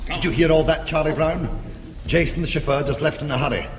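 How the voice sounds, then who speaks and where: A man's voice: a little high, fairly even intonation, brisk, background noise, lightly acted. An actor playing a defiant, sardonic British criminal arguing his case insistently in a radio drama. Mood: sardonic, urgent. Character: defiant, quick-witted male criminal defending himself. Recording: echoey, reverberant church-like space.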